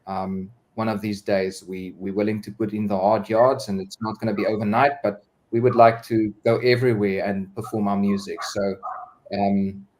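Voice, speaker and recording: A man's voice: lowish voice, flat delivery, normal speed, a little mellow. A man talking as a singer guest on a live-stream video call, earnest, with many fillers and repeated words. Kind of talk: casual live-stream chat.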